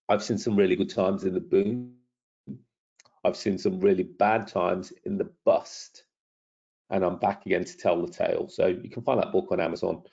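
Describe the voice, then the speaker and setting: A middle-aged man talks through a webinar, his low voice croaky and gravelly with a husky edge. He sounds persuasive and keeps turning questions back to the listener. The audio is dull and lo-fi. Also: a little mellow. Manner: persuasive, self-promoting, asks the audience questions. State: croaky from lingering illness. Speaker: property trainer hosting a webinar. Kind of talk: webinar presentation over slides.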